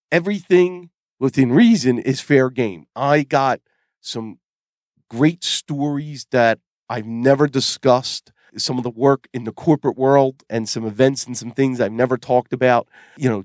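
A man's voice: mid-range voice, darkish tone, no echo, clean studio sound. A middle-aged man hosting a casual tech podcast, sick with a cold and losing his voice, chatting in a rambling way with fillers and restarts. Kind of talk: casual solo podcast talk.

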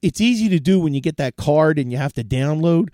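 Man, middle-aged tech show host, hoarse sore throat, mellow dark tone, dry close sound, chatty advice. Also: on the high side, expressive, fairly quick, dry recording. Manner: chatty, advice-giving, restarts sentences. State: sore throat, losing his voice. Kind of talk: casual solo tech talk.

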